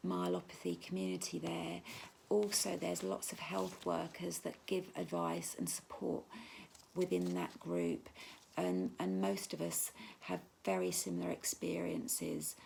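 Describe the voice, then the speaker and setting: A middle-aged woman, in pain and tired, speaking slowly about her own illness in a casual vlog at home, halting with many ums and restarts. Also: fairly low pitch, gravelly, a little mellow, a little hiss or hum. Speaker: patient sharing her experience.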